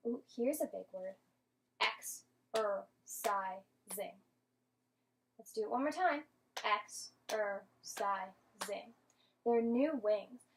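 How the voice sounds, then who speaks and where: A woman teacher giving an interactive storybook read-aloud to young children, expressive and patient, often asking and explaining. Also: mid-range voice, very slow, a little hiss or hum, low-quality recording.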